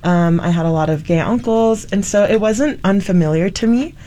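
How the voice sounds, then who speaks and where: A woman's voice: mid-range voice, animated, normal speed, mellow. A woman from Hawaii speaking as a panel guest, casually reflecting on personal experience.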